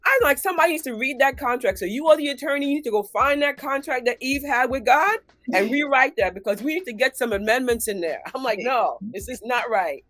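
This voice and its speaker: A woman's voice: high voice, animated, fairly quick, fairly bright tone, dry recording. A middle-aged woman with a cold, sounding nasal and congested, talking casually as a doctor guest and acting out little quoted lines. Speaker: gynecologist guest. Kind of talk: casual interview talk.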